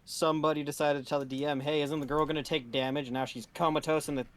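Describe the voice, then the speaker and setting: A man's voice: on the high side, little pitch movement, quick pace. A blunt, joking man who swears freely while chatting casually on a live tabletop game stream. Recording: clearly noisy room with keyboard typing.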